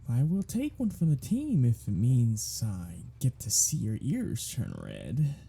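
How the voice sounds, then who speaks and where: A cocky, sardonic vampire, played by a voice actor, in an ASMR audio drama. The voice is very dark and husky, full of breath, and swings widely in pitch. The vampire drawls out teasing, sarcastic banter. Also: huge pitch swings, a little slow, exaggerated voice. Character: cocky, sardonic, teasing, foul-mouthed vampire riding shotgun. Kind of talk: ASMR roleplay audio drama, one-sided conversation.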